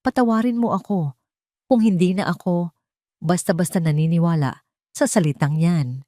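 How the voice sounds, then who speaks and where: A woman's voice: lowish voice, moderate pace, mellow, no room sound, lightly acted. A woman narrator plays a wounded young mother in a romantic audio drama. She sounds weary and bitter, holding others off with pained defiance. The recording is dry and close. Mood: bitter, pleading. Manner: pained, guarded.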